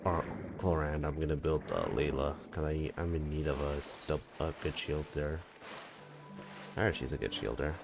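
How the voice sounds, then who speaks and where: A man's voice: extremely low pitch, fairly even intonation, moderate pace, gravelly. A young man streaming a game, chatting casually and joking, sometimes swearing. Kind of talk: live gameplay commentary. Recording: voice over loud game music.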